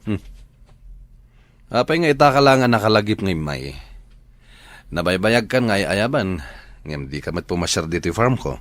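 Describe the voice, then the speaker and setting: An actor playing a calm older friend and farm owner in a radio drama, with an Ilocano accent. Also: male voice, fairly low pitch, moderate pace, long pauses, dry recording. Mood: calm, teasing.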